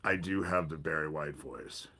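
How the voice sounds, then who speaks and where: A man hosting a casual livestream from his back porch, with a deep voice and a stuffy head cold, rambling with pauses and restarts. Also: pushed and bright. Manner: rambling, pauses, self-interrupting. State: congested from a cold. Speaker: livestream host.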